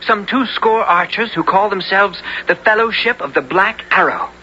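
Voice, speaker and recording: An actor playing a brave, loyal young squire who both narrates and speaks in a radio drama, in earnest, formal, archaic language. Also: male voice, high-pitched, moderate pace, pushed and bright, a little hiss or hum. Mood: earnest, respectful. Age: young adult. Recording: muffled old radio broadcast with music.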